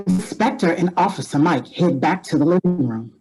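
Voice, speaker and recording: A woman's voice: fairly low pitch, lively intonation, moderate pace, darkish tone, faint music behind, low-quality recording. A woman announcing a radio mystery with a teasing, theatrical delivery. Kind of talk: old-time radio announcing.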